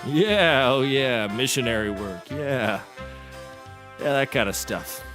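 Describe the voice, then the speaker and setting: A man's voice: medium pitch, expressive, slow, over music. A man playing a crude, skirt-chasing coworker with a casual, wisecracking manner in a scripted comedy. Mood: smirking.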